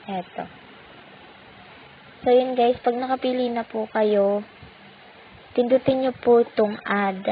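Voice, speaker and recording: A woman's voice: a little high, steady tone, takes it slowly, long pauses. A woman speaking with a Filipino accent, walking viewers through a phone app tutorial step by step in a polite, patient way. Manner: polite, patient, uses many "po" courtesy markers. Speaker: tutorial channel host. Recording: tinny phone-like audio with faint noise.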